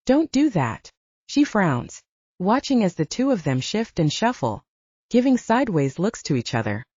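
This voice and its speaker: A woman's voice: on the low side, big pitch swings, moderate pace, a little mellow, husky, no echo. A woman reading scripted fiction as an audiobook narrator, in a dramatic storytelling style. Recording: dull lo-fi audio, dry.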